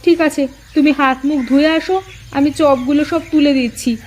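A woman's voice: high voice, fairly even intonation, moderate pace, darkish tone, a little hiss or hum, lightly acted. A woman playing an outspoken village housewife in a cartoon, exclamatory and nagging in rustic everyday speech. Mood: wary wonder. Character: outspoken village housewife, scolding yet easily amazed. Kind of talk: cartoon moral-story voice acting.

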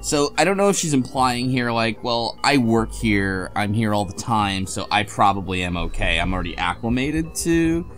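A man chatting casually as a podcast co-host, retelling a game's story in a halting, hesitant way. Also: on the low side, a bit bright, faint music behind. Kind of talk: casual chat, recapping a game story.

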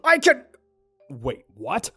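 A man voicing a flustered, kindly, awkward young man with an expressive delivery in an animated roleplay. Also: a little high, takes it slowly, heavily acted. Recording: background music under dialogue.

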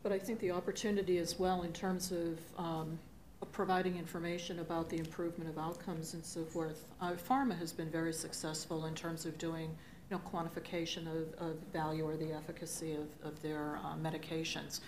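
Low, flat-voiced woman on a panel. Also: slight background noise, poor audio. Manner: measured, thoughtful, with fillers. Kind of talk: panel discussion. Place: conference stage. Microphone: table microphone.

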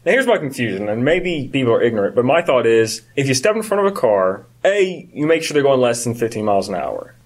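Male co-host, early 30s, brisk delivery, conversational, animated storyteller. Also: mid-range voice, slightly rough. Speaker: podcast co-host. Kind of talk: casual chat.

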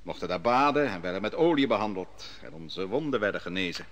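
Solemn prophet Daniel. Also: male voice, low-pitched, animated, fairly bright tone. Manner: measured, solemn storytelling. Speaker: narrating prophet. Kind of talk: 1950s Dutch radio drama acting.

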